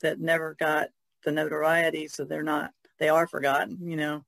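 Woman with a creaky voice. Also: low voice, monotone, normal speed, fairly bright tone, no echo. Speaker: podcast guest, artist. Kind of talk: casual chat.

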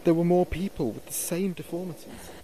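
An actor playing a frightened late-night radio DJ giving a halting, haunted field report in a horror audio drama. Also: male voice, on the high side, lively intonation, vocal fry, mellow. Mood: frightened, guilty. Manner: halting, hesitant, haunted delivery. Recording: noisy outdoor field recording with ambience.

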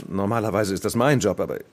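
Genial, husky policeman. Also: on the low side, a little fast. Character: genial small-town policeman, explains things to children.

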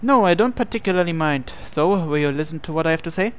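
Higher-voiced young man reading aloud. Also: animated, fast talker, a bit bright, clear, resonant tone. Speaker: host reading a visual novel aloud. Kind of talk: live read-aloud of narration and dialogue. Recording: music behind the voice. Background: game background music.

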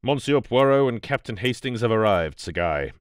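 A man voicing a grave, steadfast older British archaeologist with a formal, courteous, measured way of speaking, in an audiobook story. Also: mid-range voice, animated, clear, resonant tone, dry recording, clean studio sound, voice pushed up, natural voice.

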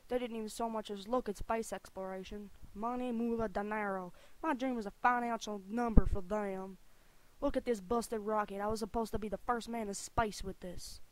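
A woman plays a bitter, swearing pilot captain in a game fandub. He is brash and grumbling, with a redneck way of talking and a gruff temper. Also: medium pitch, steady tone, moderate pace, close to an everyday voice, poor audio. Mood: bitter, angry. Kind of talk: anime-style game fandub audition.

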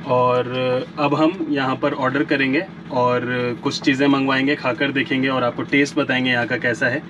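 A man in his thirties, chatting casually as a food vlogger in a relaxed way, filmed on a handheld action camera. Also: medium pitch, muffled recording, noisy background. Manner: relaxed and chatty, with filler pauses. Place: airplane-themed restaurant, indoors and outdoors. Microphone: action camera held at arm's length.

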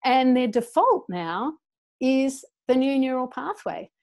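A woman's voice: sits high, slow pace. A middle-aged woman, speaking passionately as a guest over a video call from a small room. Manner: passionate, animated, gestures a lot with her hands. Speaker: guest educator. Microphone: earphone mic on a video call.

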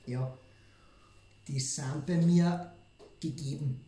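A man's voice: fairly high pitch, fairly even intonation, slow pace, dark tone. Silly Austrian man bantering in an echoey room. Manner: silly, theatrical. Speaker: co-host. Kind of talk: casual comic banter.

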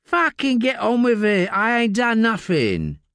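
Give me a shrill, agitated young woman suspect, put on by a male narrator, dry close sound. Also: wildly swooping pitch, a little slow, fairly bright tone, clean tone, no room sound, exaggerated voice.

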